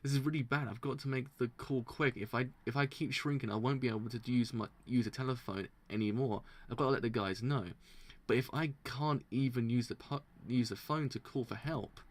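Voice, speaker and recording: A man voicing a young tough gunman who is shrinking, muttering frantically and swearing under his breath in an everyday middle-pitched voice. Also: a little fast, natural voice. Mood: alarmed.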